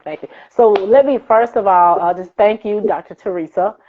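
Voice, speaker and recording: A woman's voice: medium pitch, moderate pace. A woman speaking as a playwright and interview guest, giving emphatic thanks and repeating words for stress. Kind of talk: thank-you remarks on a live interview show. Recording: thin phone-like video-call audio.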